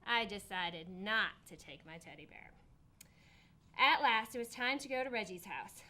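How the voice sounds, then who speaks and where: A woman narrating a children's picture book expressively, with deliberate, emphatic phrasing. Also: medium pitch, sharp tone, slight background noise. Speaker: assistant principal reading a picture book.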